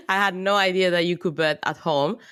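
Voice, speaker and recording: A woman's voice: on the low side, moderate pace, clear, resonant tone, dry recording, clean studio sound. A woman in her thirties from Italy with an Italian accent, a birth coach chatting as an interview guest, laughing often. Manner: laughs often, relaxed non-native phrasing. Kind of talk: casual interview chat.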